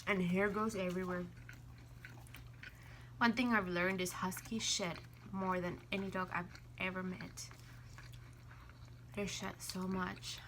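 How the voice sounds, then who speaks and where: Bright-voiced woman chatting, slightly noisy recording. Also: fairly low pitch, little pitch movement, unhurried, long pauses. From Finland. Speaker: vlog co-host. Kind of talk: casual chat.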